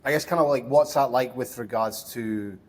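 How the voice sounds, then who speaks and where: A man's voice: medium pitch, normal speed, darkish tone. Male interview host; informal, filler-heavy, rambling questions. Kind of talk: casual podcast interview.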